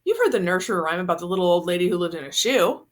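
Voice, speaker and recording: A woman's voice: on the low side, big pitch swings, racing. A woman voicing an awkward, earnest teenage boy who explains things hesitantly in a story reading. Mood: awkward, explaining.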